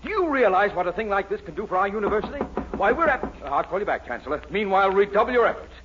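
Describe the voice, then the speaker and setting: Blustery middle-aged dean, high and animated, theatrical vintage radio sitcom on dull old recording. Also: male voice, fast. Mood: exultant, frantic. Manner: pompous, theatrical exclamations. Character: excitable, pompous male university dean. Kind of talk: 1940s radio comedy acting. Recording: muffled, noisy 1940s radio recording.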